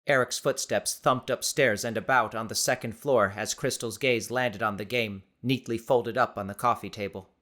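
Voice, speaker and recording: Male audiobook narrator, medium pitch, dramatic. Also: fairly even intonation, normal speed, few pauses, pushed and bright. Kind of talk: dramatic scripted story narration.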